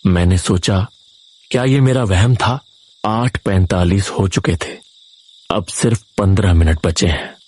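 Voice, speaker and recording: A man with a low, husky voice tells a first-person horror story. He reads slowly and with suspense, as a dramatic scripted narrator. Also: a little mellow.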